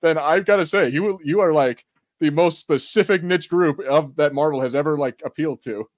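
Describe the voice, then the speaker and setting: A man's voice: fairly high pitch, moderate pace, dry recording. A man co-hosting a podcast in casual, slangy chat, joking and rambling with some swearing. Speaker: podcast co-host. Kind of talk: casual chat reviewing a TV show and reading live chat.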